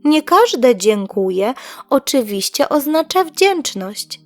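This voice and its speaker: A woman narrates a scripted children's tale in a measured, deliberate way, pausing between short phrases. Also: fairly high pitch, darkish tone, dry recording. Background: music.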